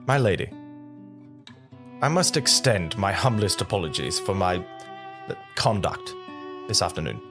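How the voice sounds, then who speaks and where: An earnest, devoted knight, formal and halting in his speech. His intonation moves widely, and music plays clearly beneath this intimate audio drama scene. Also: deep voice, very animated, heavily acted. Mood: apologetic, torn. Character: Lancelot, earnest, hesitant, devoted male knight. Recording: music under the voice.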